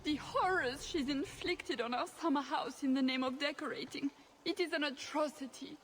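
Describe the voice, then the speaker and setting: A woman's voice: high voice, moderate pace, a bit bright, a little hiss or hum. A woman playing a haughty, scandalised middle-aged countess in a fantasy game, melodramatic and indignant.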